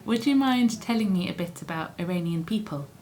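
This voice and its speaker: A woman's voice: on the low side, lively intonation, fairly quick, a little mellow. A woman asking questions in a conversational interview.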